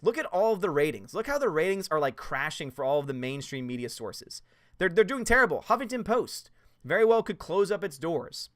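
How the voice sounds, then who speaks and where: A man talks on his livestream with a fairly high voice, reading viewer comments and slipping into mocking put-on voices. The sound is dry and close, with no room around it. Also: fairly quick, no echo. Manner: animated, puts on mocking voices. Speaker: host reading live chat.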